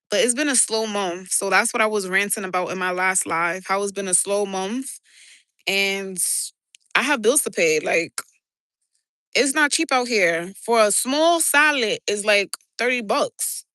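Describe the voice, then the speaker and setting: A woman with a New York accent, chatting casually and excitably on a live stream from a city rooftop, talking into her phone. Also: medium pitch, no room sound. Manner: chatty, swearing, rambling and excitable. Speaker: livestreamer. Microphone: handheld phone.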